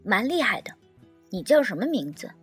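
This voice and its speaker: A woman voicing an eager, naive little pet dog in a storybook, childlike, excitable and curious. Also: a little high, normal speed, husky, faint music behind, heavily acted.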